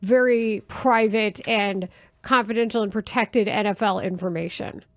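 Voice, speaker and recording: A woman's voice: mid-range voice, fairly even intonation, unhurried, dry recording, studio quality. A woman with an American accent hosting a sports radio show in a conversational interviewing style.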